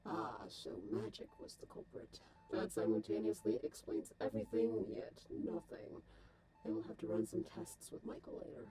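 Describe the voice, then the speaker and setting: A woman's voice: very deep voice, big pitch swings, moderate pace, warm tone, rough recording. A woman voicing a male artificial intelligence modelled on a scientist, dry and detached, with formal, precise, wordy phrasing in a scripted comic dub. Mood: dry, clinical. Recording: music behind the voice.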